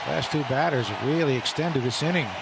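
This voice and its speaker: A man's voice: fairly high pitch, brisk, creaky, a loud crowd, very noisy. A man giving baseball radio commentary, talking through the game in an analytical, conversational way. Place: ballpark broadcast booth.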